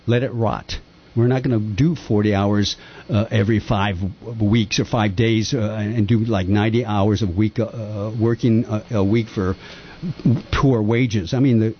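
A man's voice: unhurried, breathy edge. An older man who was born in Japan, talking earnestly and at length with rambling, impassioned opinions on a radio-style talk show. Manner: earnest, rambling, lively pitch swings. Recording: dull lo-fi sound.

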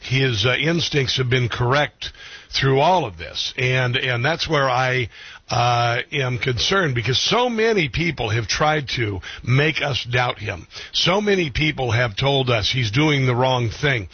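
Male talk radio host, husky, hesitant delivery, dry lo-fi sound. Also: medium pitch, lively intonation, no echo. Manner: halting, full of ums and restarts. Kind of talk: radio interview.

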